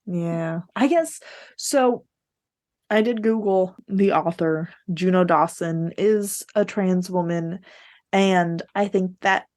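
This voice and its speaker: A woman's voice: lowish voice, slow, a little mellow. A woman co-hosting a podcast, casually discussing books in a candid, opinionated way with a readiness to laugh. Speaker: podcast co-host.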